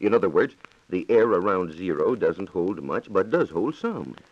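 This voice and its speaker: A man's voice: low-pitched, big pitch swings, moderate pace. An American man reporting on science in a scripted, formal and precise 1940s radio style, on a dull, echoey old recording.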